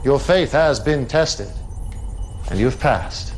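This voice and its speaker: An actor playing a menacing, calculating cult leader and commander, speaking in a deliberate, grandiose, commanding way in a video game cutscene. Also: male voice, mid-range voice, expressive, slight background noise. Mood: smug, scheming.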